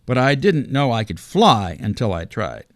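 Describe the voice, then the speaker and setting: A man's voice: medium pitch, very animated, moderate pace, no echo, studio quality. A man voicing a mild, bashful talking polar bear with a wheezy voice.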